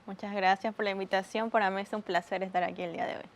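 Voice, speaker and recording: A woman's voice: mid-range voice, little pitch movement, fast talker. Young Bolivian-accented woman doctor. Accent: Bolivian Spanish. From Argentina. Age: young adult. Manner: earnest, explaining with hesitations. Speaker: doctor, diabetologist guest. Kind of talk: TV interview.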